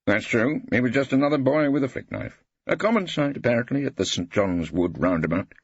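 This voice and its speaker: A man's voice: mid-range voice, animated, a little fast, husky, no room sound, dull audio, voice pushed up. A man voicing an earnest, zealous Welsh solicitor in an audiobook, preachy and optimistic. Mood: hopeful. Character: earnest, eager, indignant Welsh male solicitor.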